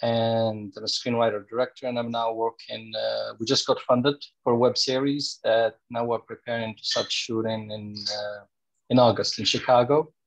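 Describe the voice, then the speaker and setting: A man's voice: mid-range voice, flat delivery, moderate pace, creaky, rough recording. A man from Morocco, a director and screenwriter, introducing his work on a video call. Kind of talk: self-introduction pitch.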